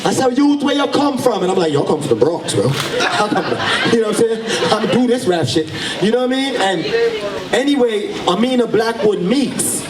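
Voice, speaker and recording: Animated Jamaican man telling a story live. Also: high-pitched, normal speed, over a noisy crowd. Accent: Jamaican, mixed with New York. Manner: animated, switches into patois for quoted voices. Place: live event stage. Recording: roomy venue sound from far off.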